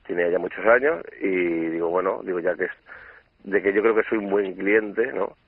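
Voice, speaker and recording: Male customer, Spain Spanish accent, unscripted phone talk, dry and narrow tinny line, low-pitched. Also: steady tone, moderate pace, slightly rough, dry recording. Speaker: phone customer, prank call target. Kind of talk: spontaneous phone conversation.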